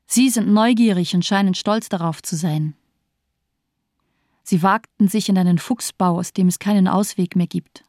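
A woman's voice: mid-range voice, moderate pace, a little mellow, dry recording, studio quality, close to an everyday voice. An actress playing a cold, bitterly nihilistic female doctor in a German radio crime drama, speaking in bleak, disdainful declamation. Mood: cold, mocking.

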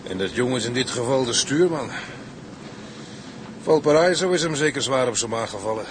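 An actor playing a gruff, loyal bosun, plain-spoken and firm, in a radio drama. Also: male voice, mid-range voice, animated, moderate pace, background noise, natural voice. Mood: wry, concerned. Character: gruff, loyal male bosun, protective of his captain.

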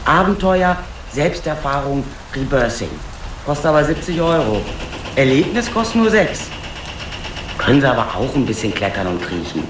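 An actor playing a casual, blunt ticket seller in a radio drama, offhand, colloquial and slightly cheeky. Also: male voice, medium pitch, sing-song, normal speed, reverberant, background noise, natural voice. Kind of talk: naturalistic radio drama dialogue.

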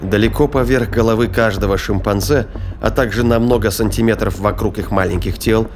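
A man's voice: on the low side, a little fast. A man narrating a science fiction audio drama in a scripted storytelling style. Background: music.

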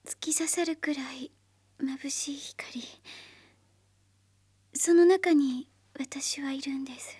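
Fragile, high-voiced young idol singer. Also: female voice, fairly even intonation, mellow. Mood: entranced, hushed. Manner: halting, dreamy, breathy murmuring. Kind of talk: radio drama acting.

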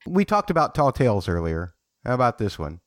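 A man's voice: mid-range voice, huge pitch swings, brisk, no echo, clean studio sound. A man in his forties hosting a podcast, joking and stumbling on his words as he chats about a book. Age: 40s. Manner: playful, jokey, repeats words when starting a sentence. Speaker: podcast host. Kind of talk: casual book discussion.

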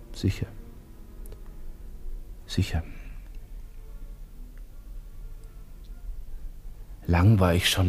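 An actor playing a brash, bored young Viennese army lieutenant, musing snappishly in a radio drama interior monologue. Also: male voice, sits low, mellow. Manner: musing, snappish, self-important. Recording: music behind, 1960s radio studio recording.

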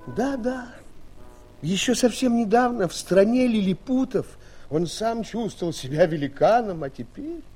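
A man playing an expressive fairy-tale narrator who addresses young friends directly and dramatically in a children's audio play. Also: high voice, moderate pace, breathy edge, faint music behind. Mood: dramatic.

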